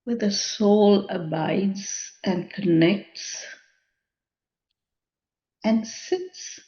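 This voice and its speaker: A woman leading a guided meditation in a measured, contemplative manner, leaving long pauses between phrases. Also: mid-range voice, mellow. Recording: dull, muffled lo-fi sound.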